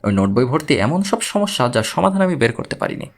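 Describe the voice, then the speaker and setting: A man voicing a patient, analytical male investigator in an audiobook story, explaining and questioning in a measured way. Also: medium pitch, sing-song, gravelly. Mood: calm, analytical.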